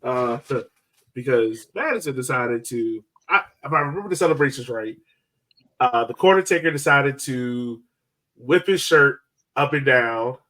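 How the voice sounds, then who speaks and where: A man co-hosting a soccer podcast, telling match stories casually in an excitable, animated way. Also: mid-range voice, measured pace. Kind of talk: casual sports chat.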